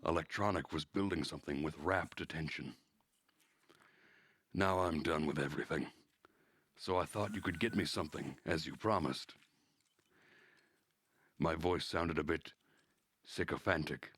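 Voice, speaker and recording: Deep, unhurried man reading aloud. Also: fairly bright tone, slightly rough, dry recording, studio quality. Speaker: streamer reading a visual novel aloud. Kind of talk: dramatic reading with casual asides.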